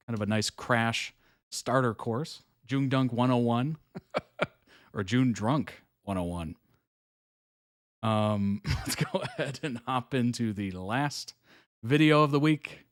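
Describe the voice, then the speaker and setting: A man hosting a reaction video, giving relaxed, chuckling commentary in short approving remarks. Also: lowish voice, no echo, clean studio sound.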